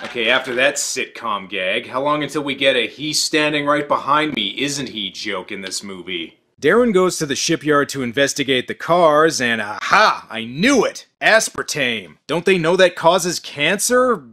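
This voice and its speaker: Man hosting a comic movie review, mid-pitched, dry sarcastic asides. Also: normal speed. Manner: sarcastic, deadpan asides and quips. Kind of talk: comedic movie review narration.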